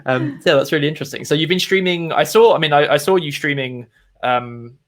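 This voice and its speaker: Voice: medium pitch, a little fast. An interview host chatting casually in a loose, filler-heavy way that encourages the guest.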